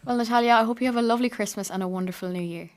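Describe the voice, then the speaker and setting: A woman's voice: a little high, racing. A teenage young woman hosting an interview show with prepared questions. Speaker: show host.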